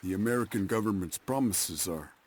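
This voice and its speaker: A male actor as very deep-voiced wary soldier. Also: expressive, a little fast, a little hiss or hum, close to an everyday voice. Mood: grave, dry. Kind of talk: video game cutscene acting. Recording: game music under the voice. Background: music.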